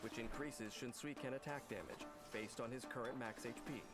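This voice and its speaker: A man's voice: medium pitch, fairly even intonation, moderate pace. A man reading scripted narration for a game character showcase. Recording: loud music under the voice. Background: loud music.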